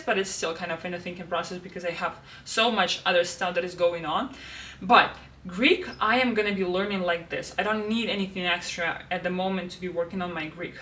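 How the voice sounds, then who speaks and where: Bright-voiced young woman. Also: fairly low pitch, recorded from a distance, a little hiss or hum. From Russia. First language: Russian. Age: 20s. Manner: chatty, many fillers and restarts. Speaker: vlogger. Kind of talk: casual planner walkthrough. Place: home room.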